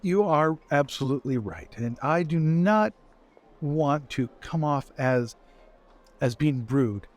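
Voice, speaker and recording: Man as an awkward, higher-voiced soldier. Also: lively intonation. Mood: apologetic, formal. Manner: hesitant, deferential, halting. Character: dutiful, awkwardly polite male soldier. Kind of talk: improvised tabletop roleplay.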